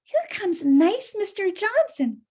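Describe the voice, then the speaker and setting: Woman voicing a very high-pitched, naive little storybook hen. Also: very animated, slow, a little mellow, heavily acted. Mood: cheerful. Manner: wide-eyed, exclamatory.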